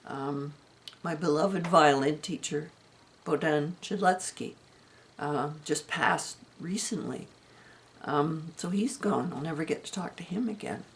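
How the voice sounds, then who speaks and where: A woman in her seventies giving a chatty, rambling health update from home, her voice going hoarse. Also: sits low, slight background noise. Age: 70s. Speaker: vlogger. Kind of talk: casual personal health update.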